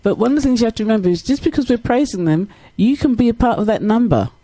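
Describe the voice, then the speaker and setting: Female radio presenter, mellow and encouraging, conversational interview delivery, close dry studio sound. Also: fairly high pitch, expressive, racing, dry recording. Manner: encouraging, affirming. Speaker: radio show host.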